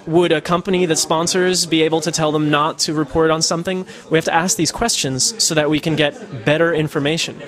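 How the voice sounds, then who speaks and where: Man, podcaster, from the United States, casual interview talk, slightly high pitch, thoughtful. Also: fairly even intonation, a little fast, few pauses, a bit bright. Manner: casual, thoughtful, frequent fillers and restarts. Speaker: podcaster and citizen journalist. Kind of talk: interview answers. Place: Netherlands.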